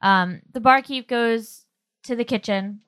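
A woman's voice: fairly high pitch, a little slow, a bit bright, clean tone. A woman running a tabletop game as game master, narrating casually.

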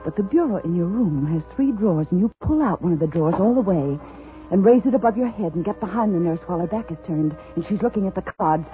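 An actress playing an unstable woman telling a story in an intense, halting, dramatic 1950s radio style. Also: on the low side, phone-quality sound, close to an everyday voice. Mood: hushed, intent. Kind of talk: 1950s radio suspense acting. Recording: thin vintage radio recording with music.

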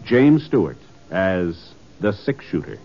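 A man's voice: sits low, sing-song, slow pace. Muffled male vintage radio announcer. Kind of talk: 1950s scripted network radio announcing. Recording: muffled old radio, loud music behind.

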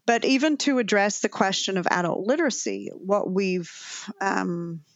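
A woman's voice: mid-range voice, animated, a little slow, dry recording, lo-fi sound. A woman with a New Zealand accent, a professor answering interview questions thoughtfully with frequent restarts. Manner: thoughtful, many restarts and fillers.

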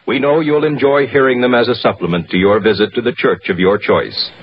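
A man's voice: mid-range voice, fairly even intonation, a little fast. A man reading a scripted public service announcement as an old-time radio announcer. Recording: muffled, faintly noisy old radio recording.

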